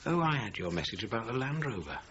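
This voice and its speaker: An actor playing a middle-aged British police inspector in a radio crime drama, asking thorough, polite questions with thoughtful grunts. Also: male voice, medium pitch, big pitch swings, quick pace, natural voice. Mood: questioning. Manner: questioning, hesitant musing with grunts. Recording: dull lo-fi radio recording, faint noise.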